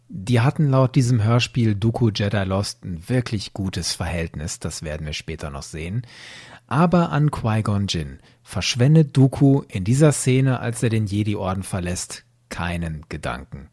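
A man's voice: on the low side, darkish tone, dry recording, studio quality. A man hosting a podcast, delivering scripted commentary in an opinionated, emphatic way. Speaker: podcast host.

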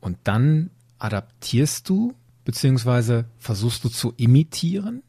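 A man's voice: medium pitch, sing-song, a little slow, mellow, breathy edge, no room sound, studio quality. A man in his forties hosting a podcast, chatting casually and enthusiastically and laughing often. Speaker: podcast host. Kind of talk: casual interview chat.

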